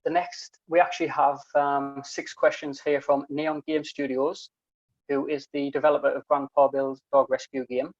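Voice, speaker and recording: A man's voice: mid-range voice, flat delivery, moderate pace, muffled recording. A young man from the UK with a broad British accent, reading out community questions over a video call with a friendly manner and lots of ums. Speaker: community council member asking questions. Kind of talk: casual video call interview. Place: small room at home. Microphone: earphone headset on video call.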